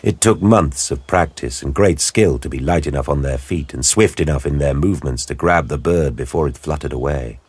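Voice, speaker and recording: A man narrating an audio drama in a deep, husky voice with grave, deliberate storytelling. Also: a little mellow, no echo.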